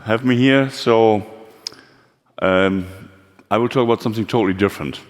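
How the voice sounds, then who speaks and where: A man's voice: lowish voice, moderate pace. A man with a German accent, a company chief giving a blunt, candid conference talk with fillers and non-native phrasing. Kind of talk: conference presentation. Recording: long hall reverb.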